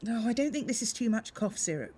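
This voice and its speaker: An actress playing a worried, apologetic shopkeeper in a radio soap. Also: female voice, on the high side, lively intonation, a little fast, mellow, breathy edge.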